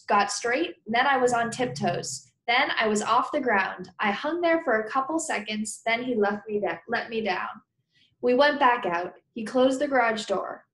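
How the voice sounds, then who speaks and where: A woman teacher reading a children's story aloud, pausing with conversational explanations and questions for pupils. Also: a little high, fairly bright tone, reverberant, rough recording.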